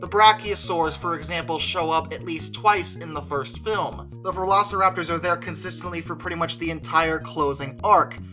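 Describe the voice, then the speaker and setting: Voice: medium pitch, normal speed, few pauses, over music. A video creator giving an opinionated, conversational movie review, stretching stressed words for emphasis.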